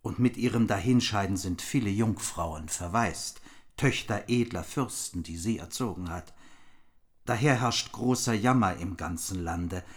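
A man's voice: on the low side, measured pace, natural voice. A man playing a grave noble envoy. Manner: courtly, grave. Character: loyal noble envoy of a king, dignified.

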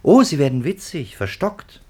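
Sharp police interrogator, animated delivery. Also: male voice, fairly high pitch, moderate pace, breathy edge. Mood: sardonic, commanding. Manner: insistent, sardonic questioning. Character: probing, sarcastic male police detective leading an interrogation. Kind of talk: German radio play acting.

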